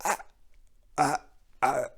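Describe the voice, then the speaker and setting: A man voicing a shattered, terrified prophet in a dark story, gasping and stammering through tears. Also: extremely slow. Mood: terrified, sobbing. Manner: gasping, stammering, spitting out words. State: crying, trembling. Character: Daniel, broken, terrified prophet, sobbing master. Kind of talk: dramatic audiobook character reading.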